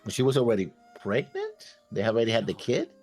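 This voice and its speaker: A man co-hosting a reaction show, talking casually and haltingly as he thinks aloud about a series. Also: mid-range voice, wildly swooping pitch, a little mellow, soft background music. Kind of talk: casual discussion of an animated series.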